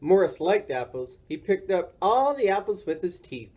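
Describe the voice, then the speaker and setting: A story time reader narrates a picture book for children. The voice sits in a middle register and rises and falls in a very animated way. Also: mid-range voice, normal speed.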